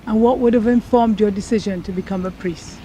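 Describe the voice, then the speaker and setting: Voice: medium pitch, steady tone, brisk, mellow, slight background noise, low-quality recording. An interviewer putting formal, polite questions to a guest.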